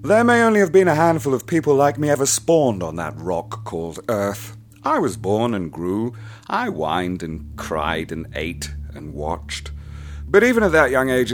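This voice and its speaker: An actor playing a cold, sadistic man who speaks to a bound captive in a soft, measured, menacing way in a radio play. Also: mid-range voice, huge pitch swings, faint music behind. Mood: gloating recollection.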